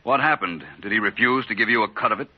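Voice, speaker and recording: An actor playing a cool, shrewd private detective in an old-time radio drama, speaking in a wry, measured, confident way. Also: male voice, fairly low pitch, natural voice. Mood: wry, composed. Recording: muffled vintage radio recording.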